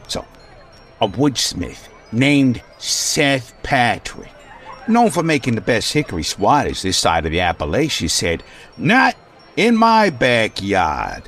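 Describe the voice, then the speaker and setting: An actor playing a bumbling, folksy emcee who stammers and rambles in a comic old-time radio style. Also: male voice, mid-range voice, fairly bright tone, exaggerated voice. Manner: halting, rambling, stammering, clears throat. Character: bumbling folksy male emcee rambling through town legend.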